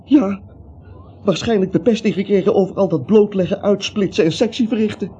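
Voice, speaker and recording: A man's voice: high voice, brisk, mellow, husky. An actor playing a nervous, bewildered little man in a radio play, dithering, stammering and repeating himself. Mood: agitated, pleading. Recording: muffled old radio recording, faint hiss.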